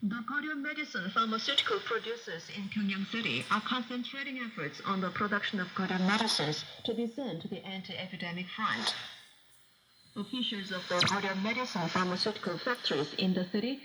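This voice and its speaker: A woman reading scripted news as a radio announcer, formal and solemn with deliberate phrasing, heard over shortwave. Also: medium pitch, lively intonation. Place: radio broadcast studio, received over shortwave. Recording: noisy shortwave radio reception.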